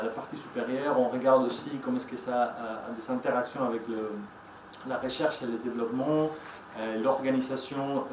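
Homme à l'accent espagnol, hésitant. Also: male voice, mid-range voice, a little mellow, slight background noise. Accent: Spanish. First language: Spanish. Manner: hesitant, many fillers and restarts. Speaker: conference speaker. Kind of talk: conference presentation. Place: conference room. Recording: echoey room, mic from far off.

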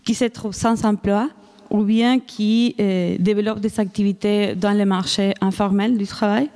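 A woman's voice: medium pitch, fairly even intonation, unhurried, mellow. A woman from Argentina with an Argentine Spanish accent, speaking French as a second language, giving an academic conference talk with frequent restarts and fillers. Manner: hesitant, many restarts and fillers. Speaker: researcher presenting at a conference.

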